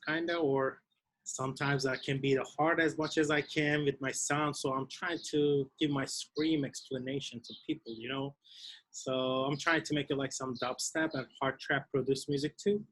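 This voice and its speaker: A young man from Turkey with a Turkish accent, speaking English as a second language in a video-call interview about his DJ and producing work, often pausing to search for words. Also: medium pitch, steady tone, a bit bright. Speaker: DJ and music producer.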